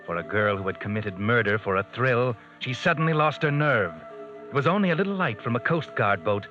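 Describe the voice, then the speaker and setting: Sinister sardonic old-time radio mystery narrator, swoopy delivery, dull vintage sound w/ music behind. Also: male voice, medium pitch, animated, a little fast, pushed and bright. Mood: dramatic, urgent.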